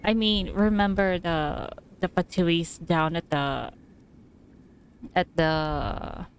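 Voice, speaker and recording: A woman's voice: lowish voice, fairly even intonation, takes it slowly, a little hiss or hum. A woman streaming a game with casual, improvised commentary, musing aloud hesitantly and repeating words as she thinks. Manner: hesitant, musing, trailing repetitions.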